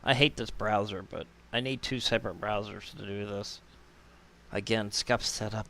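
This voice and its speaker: A man's voice: lowish voice, fairly even intonation, normal speed, creaky, bright, forceful tone, slight background noise. A man hosting a live stream with casual commentary, informally muttering his way through things aloud.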